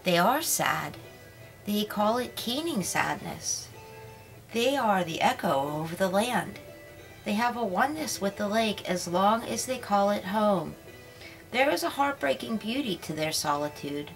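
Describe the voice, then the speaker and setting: A woman voicing a tiny, gentle female mermaid who is dreamy and wistful, speaking in a soft, lilting way. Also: mid-range voice, expressive, unhurried, creaky, faint music behind, low-quality recording.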